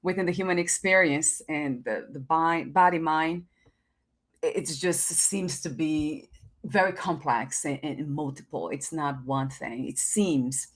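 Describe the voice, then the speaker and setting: A woman's voice: low voice, a little slow. A woman hosting an interview, thoughtful and affirming, musing aloud between her guest's stories. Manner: thoughtful, affirming, frequent listening murmurs. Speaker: host. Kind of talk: reflective interview conversation.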